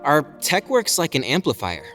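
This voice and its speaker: A man's voice: fairly high pitch, wildly swooping pitch, normal speed, over music. A man playing an eager, boyish alien fighter in an audio drama, casual, chatty and playfully cocky. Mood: enthusiastic. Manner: casual, hesitant then enthusiastic.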